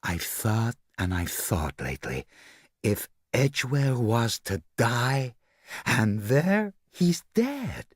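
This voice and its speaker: Vain actress, played by a male reader: husky, breathy, languid, shifted high, swooping lines, dry storybook sound. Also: big pitch swings, slow pace, no room sound, exaggerated voice. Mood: awed, rapturous. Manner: gushing, childlike, languid drawl. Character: vain, breathy, self-absorbed glamorous actress.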